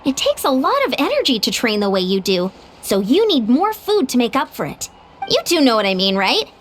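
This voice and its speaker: A woman's voice: high-pitched, huge pitch swings, fairly quick, slight background noise. A woman voicing a young woman casually explaining why training needs more food.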